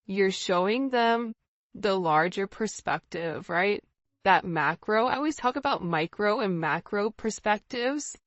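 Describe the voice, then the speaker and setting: A woman speaks as a tarot reader in an informal, conversational style, addressing the listener directly and checking in as she goes. Her voice sits at a medium pitch. The recording is dry, with little room sound, and slightly dull. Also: expressive, unhurried, no room sound. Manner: conversational, often checking in with "right?" and "you know".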